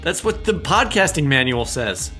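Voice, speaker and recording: A man's voice: fairly high pitch, sing-song, moderate pace, sharp tone, faint music behind. An American man co-hosting a podcast in a conversational interviewing style.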